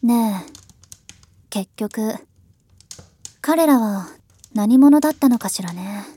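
Woman acting a slow, languid elder sister. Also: fairly high pitch, animated, mellow, husky, dry recording, exaggerated voice. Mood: wistful musing. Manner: slow, wistful, rhetorical musing. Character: languid, world-weary elder sister, musing ironically. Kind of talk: Japanese voice drama acting.

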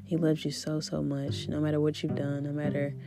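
Female podcast host, low gravelly voice, casual and encouraging, guitar bed. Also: completely flat delivery, brisk. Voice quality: deep voice. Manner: rambling, faith-filled, soft laughs. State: recovering voice after illness. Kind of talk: casual spiritual podcast chat.

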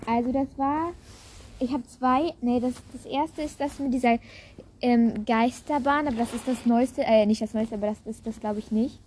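A young woman with a fairly high voice, chatting casually as a podcast co-host. Her manner is playful and giggly, and there is faint noise in the home recording. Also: moderate pace, darkish tone. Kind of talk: casual chat.

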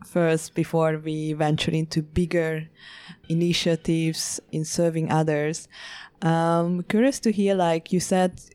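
Host, woman, low-pitched and curious, dry close mic. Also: level tone, unhurried, darkish tone, clean tone, dry recording. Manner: curious, leading into questions with fillers. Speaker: interview host. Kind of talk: casual interview questions.

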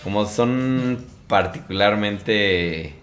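A man's voice: on the low side, drawn-out words, fairly bright tone. A man, co-hosting and chatting in a casual, slangy way. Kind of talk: casual chat.

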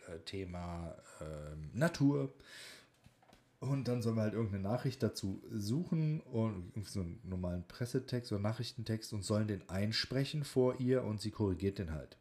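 Low-voiced man chatting casually. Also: a little mellow. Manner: informal, bantering. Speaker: podcast co-host; student in a speech training class. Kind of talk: casual podcast chat with tongue-twister game.

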